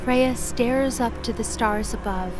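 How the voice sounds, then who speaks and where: High-pitched woman narrating dramatic fiction. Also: measured pace. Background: music.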